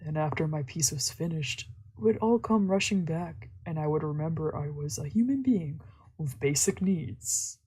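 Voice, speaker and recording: A woman's voice: low-pitched, animated, moderate pace, dark tone, breathy edge, low-quality recording. A woman streamer reading a visual novel aloud as narration, with casual reactions of her own. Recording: faint background music.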